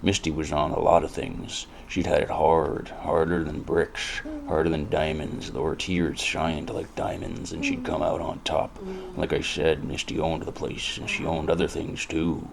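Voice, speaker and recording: Man acting a very deep gravelly noir detective. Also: measured pace, few pauses, noisy background, exaggerated voice. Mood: wistful, brooding. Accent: American. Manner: brooding, deadpan. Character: world-weary cynical hard-drinking male noir detective narrator. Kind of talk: scripted first-person hardboiled noir narration.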